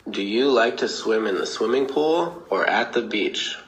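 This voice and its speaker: A man voicing a formal male speaking-test examiner who asks brisk, set questions. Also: on the low side, lively intonation, fairly bright tone, slight background noise, voice pushed up, rough recording.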